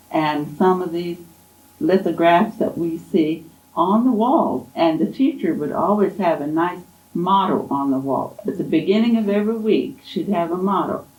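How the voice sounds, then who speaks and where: A woman in her eighties, an oral history interviewee, gently reminiscing in an unhurried, fond way. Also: lowish voice. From Kansas, USA. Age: 80s. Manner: gentle, unhurried, fond storytelling with soft laughs. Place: college library. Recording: echoey library room.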